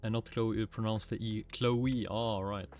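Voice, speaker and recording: Low-voiced Swedish man streaming a game. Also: moderate pace. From Sweden. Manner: relaxed, muttering to himself, casual swearing, reading chat aloud. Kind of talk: casual livestream gaming chat. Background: faint music and keyboard typing.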